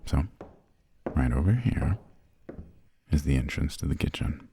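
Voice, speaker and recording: A man playing an imperious, aristocratic vampire lord in a whispered ASMR roleplay, with formal, haughty, old-fashioned phrasing and a breathy murmur. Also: very animated, measured pace, background noise, heavily acted. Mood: courteous, brisk. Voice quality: breathy, gravelly low murmur. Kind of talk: whispered ASMR roleplay, slow scripted monologue.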